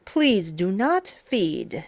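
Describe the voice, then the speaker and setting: A woman reading a children's picture book aloud as a storyteller, in a theatrical, playful, emphatic way with drawn-out words. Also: mid-range voice, big pitch swings, creaky. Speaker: storyteller, teacher.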